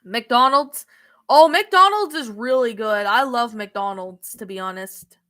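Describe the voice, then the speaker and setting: A young woman with a Canadian accent and a high voice, chatting on a live stream. Her tone is bright and clear. She answers viewers casually, pausing on ums and restarting her sentences. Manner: hesitant, many ums and restarts. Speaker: streamer reading chat.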